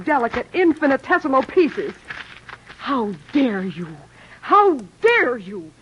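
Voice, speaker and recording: A woman's voice: high-pitched, a little hiss or hum. An actress playing an indignant young woman author, cutting and theatrical, in old-time radio acting. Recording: dull old radio recording.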